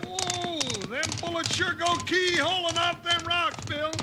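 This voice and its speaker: A reluctant but loyal American cowboy sidekick in a vintage radio western. His voice is remarkably high and whiny, with rustic, comic phrasing. The old recording is clearly noisy and clicky. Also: little pitch movement, a little slow. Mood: grumbling. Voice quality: high-pitched, rustic folksy voice. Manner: folksy, whining, comically plaintive. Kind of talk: 1950s radio western acting.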